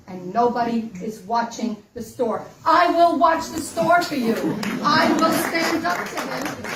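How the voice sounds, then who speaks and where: Woman in her 60s, earnest and emphatic campaign speaker, recorded from a distance in a roomy space with faint hiss. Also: high voice. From Dominican Republic. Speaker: congressional candidate. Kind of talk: campaign stump speech. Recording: echoey room, mic from far off.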